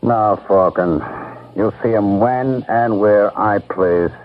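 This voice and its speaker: An actor playing a cold, greedy, domineering boss in an old-time radio drama, imperious and emphatic. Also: male voice, fairly low pitch, slow, background noise. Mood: menacing. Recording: tinny, muffled old radio recording.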